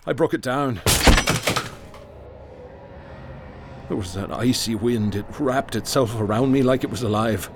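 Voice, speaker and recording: A man's voice: mid-range voice, slight background noise, close to an everyday voice. An actor playing a haunted, traumatized man who recounts nightmarish horrors in a florid, intense, theatrical radio drama delivery. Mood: shaken. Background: music.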